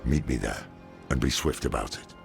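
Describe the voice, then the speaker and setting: An actor playing a gruff, cynical old demon hunter with a blunt, weary manner in a video game. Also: male voice, normal speed, exaggerated voice. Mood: sardonic, stern. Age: older. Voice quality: deep, gravelly. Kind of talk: dramatic video game acting. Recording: dramatic music under the voice.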